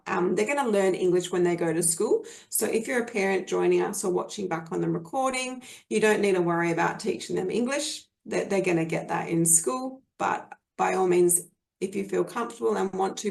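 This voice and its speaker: A woman's voice: fairly low pitch, vocal fry, darkish tone. An Australian woman presenting a webinar on early literacy for parents, explaining things in a friendly way with many fillers and restarts.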